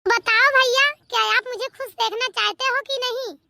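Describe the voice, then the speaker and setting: Woman acting a very high, bright young sister. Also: fairly even intonation, normal speed, gravelly, dry recording, exaggerated voice. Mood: insistent. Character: young married sister, emotional, demanding then tearfully repentant. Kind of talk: melodramatic Hindi cartoon family comedy voice acting.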